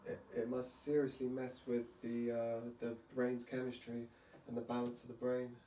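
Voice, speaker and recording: A chatty man hosting a casual podcast, full of questions and quick jokes. He sits back from the mic in a roomy space, with a faint hiss underneath. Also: mid-range voice, completely flat delivery, mellow, distant mic. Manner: chatty, asks lots of questions, jokey. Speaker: podcast host. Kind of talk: casual chat.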